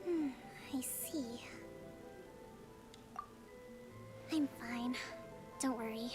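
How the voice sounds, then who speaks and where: A gentle young female leader from a video game speaks in a high voice. She is composed, thoughtful and formal, measuring her phrases over soft background music. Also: slow pace. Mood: regretful, composed.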